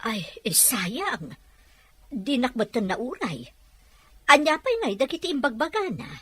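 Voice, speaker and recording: A woman's voice: a little high, very animated, a little slow, fairly bright tone, husky, heavily acted. A woman playing a caring, welcoming older-sister figure in an Ilocano audio drama. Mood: curious, warm. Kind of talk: Filipino radio-drama dialogue in Ilocano.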